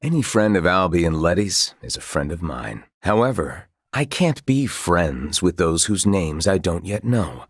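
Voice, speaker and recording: A man's voice: sits low, big pitch swings, a little slow, few pauses, husky, no room sound, studio quality, exaggerated voice. A man playing a noble, confident knight officer in a video game, speaking in formal, old-fashioned courtly phrases with good humor. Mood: composed, gracious. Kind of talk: video game character dialogue.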